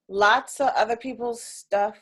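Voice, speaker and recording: A woman teaching an energy healing class on a video call, chatty and animated, with lots of fillers. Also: on the high side, husky.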